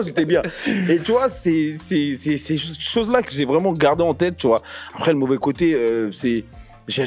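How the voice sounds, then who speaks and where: Man, young adult, from the Ivory Coast, comedian guest, animated storytelling, frequent laughter, faint music underneath. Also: on the high side. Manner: laughs often, lively storytelling, street slang. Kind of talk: casual podcast chat.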